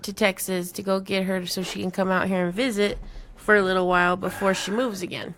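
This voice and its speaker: A woman's voice: mid-range voice, flat delivery, fairly quick, a bit bright. A tired woman co-hosting a casual podcast, laughing often as she recounts things with her partner. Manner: giggly, agreeable, recounting. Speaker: podcast co-host. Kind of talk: casual chat.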